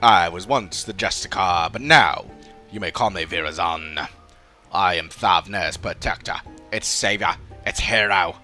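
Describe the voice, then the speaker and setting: A man's voice: low voice, unhurried, bright, forceful tone, soft background music, voice pushed down. A man voicing a grandiose, unhinged self-proclaimed hero in a fantasy game, pompous and theatrical as he declaims. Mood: boastful. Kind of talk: fantasy game villain dialogue.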